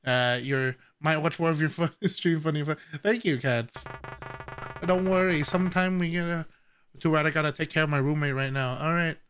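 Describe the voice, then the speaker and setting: A playful man with a fairly high voice talks off the cuff on a gaming livestream. He jokes and banters with his viewers in a casual way while game music plays clearly behind him. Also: normal speed, fairly bright tone. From Vietnamese. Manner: playful, joking, laughs often. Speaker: game streamer.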